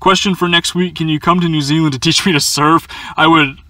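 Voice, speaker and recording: A man's voice: fairly high pitch, steady tone, fairly quick, sharp tone, dry recording. A young man chatting casually through a viewer Q&A from inside a small camper van, rambling with lots of fillers and stumbling restarts. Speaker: van-life vlogger answering viewer questions. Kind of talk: casual Q&A chat.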